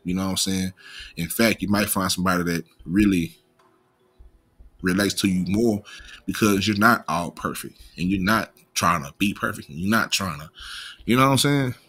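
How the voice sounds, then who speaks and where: Male commentary host, American, lowish pitch with a sharp edge, slight stutter, casual profane street slang, unscripted. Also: moderate pace, breathy edge. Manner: imperfect pronunciation of some words.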